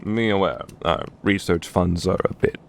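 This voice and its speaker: A man's voice: low-pitched, moderate pace, vocal fry. A man playing a grandiose, self-styled mad scientist in an anime fan dub, with pompous, dramatic delivery. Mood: grandly announcing.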